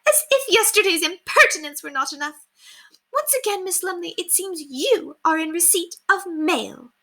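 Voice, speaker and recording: A woman's voice: extremely high pitch, lively intonation, normal speed, fairly bright tone, voice pushed up, exaggerated voice. A woman voicing a haughty, melodramatic upper-class lady from a storybook, theatrical and gushing. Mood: icy, indignant. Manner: theatrical, gushing, indignant exclamations. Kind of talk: storybook character voice read aloud.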